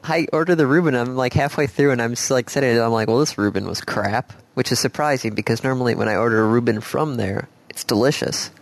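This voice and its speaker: A man with a Midwestern accent co-hosts a podcast in easy, rambling chat. His voice has a gravelly, creaky edge. The close mic sounds dry and near. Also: medium pitch, fast talker, few pauses, no room sound. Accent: American Midwest. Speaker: podcast co-host. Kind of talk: casual chat.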